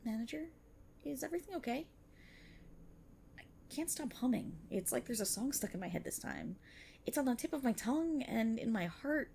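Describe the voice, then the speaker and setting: A woman playing an excitable, earnest young banshee idol-in-training, chatty and teasing, in an ASMR-style roleplay aimed at the listener. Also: a little high, normal speed, vocal fry, pauses often, slight background noise. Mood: uneasy, wistful.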